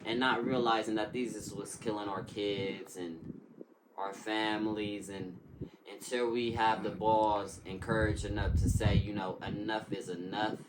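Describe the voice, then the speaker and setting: A man's voice: fairly low pitch, steady tone, unhurried. A man in recovery talking about addiction as an interview guest, earnest and emphatic, with preacher-like repetition. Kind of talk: casual interview talk. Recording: noisy recording with clicks.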